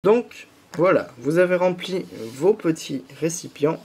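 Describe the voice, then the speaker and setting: Young guy w/ a bit of a cold, talks real slow, walks you through each step, lots of fillers. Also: a little high, animated, darkish tone, husky. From France. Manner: patient, talking viewers through each step with many fillers. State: stuffy, slight head cold. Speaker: YouTuber. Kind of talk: step-by-step candy kit tutorial.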